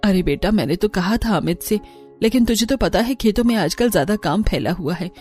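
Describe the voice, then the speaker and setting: A woman's voice: mid-range voice, expressive, brisk, a little mellow, faint music behind, lightly acted. A woman voicing a middle-aged village mother-in-law, kindly and resigned, in a cartoon.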